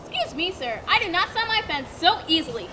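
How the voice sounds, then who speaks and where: A woman's voice: extremely high pitch, big pitch swings, brisk. A woman reading the part of a spirited, blunt young working woman in an amateur dramatic reading. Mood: defiant. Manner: blunt, defiant. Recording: outdoor traffic noise behind. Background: traffic noise.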